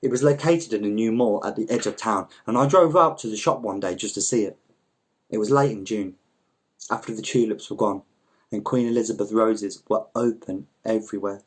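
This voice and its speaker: Low-voiced man reading a story aloud. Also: brisk, breathy edge. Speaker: volunteer story reader. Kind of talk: scripted literary narration, reading aloud.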